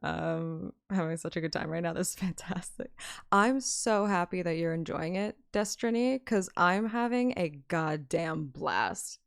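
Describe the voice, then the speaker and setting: A woman's voice: lowish voice, a little slow, vocal fry, clear, resonant tone. A woman streamer chatting casually, with a sweary, dryly complaining manner. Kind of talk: casual livestream chat.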